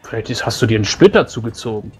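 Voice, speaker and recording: A man's voice: mid-range voice, big pitch swings, racing, a crowd in the background. A man playing a tabletop role-playing game, chatting in character in a blunt, informal way. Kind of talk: casual in-character chat.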